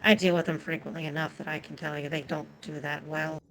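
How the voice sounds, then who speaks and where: A woman's voice: deep voice, flat delivery, fairly quick, gravelly, a bit bright, slight background noise, rough recording. A woman whose first language is Japanese, chatting casually and profanely with viewers while streaming a game.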